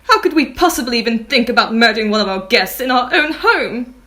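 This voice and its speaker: A woman's voice: fairly high pitch, expressive, brisk, pushed and bright. A woman playing an arch lady of the manor. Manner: genteel, arch. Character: haughty lady of the manor, suspicious.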